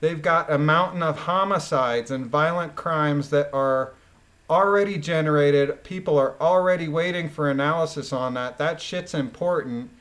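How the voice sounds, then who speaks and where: A man reading a document aloud and adding informal, emphatic commentary with halting phrasing. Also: fairly high pitch, a bit bright. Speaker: commentator, former police officer.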